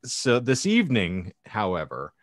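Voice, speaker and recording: A man with a lowish voice hosts a casual panel podcast in a dry, close recording. He speaks in a wry, mock-formal manner, with plenty of elaborate wording. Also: wildly swooping pitch, measured pace, no echo. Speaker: podcast host.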